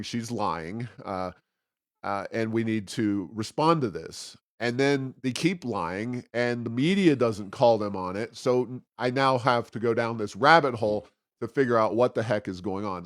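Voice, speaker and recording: A man co-hosting a talk show, giving conversational political commentary with wry, sarcastic asides and a halting, self-correcting delivery. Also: on the low side, no room sound, studio quality. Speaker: co-host of a policy podcast.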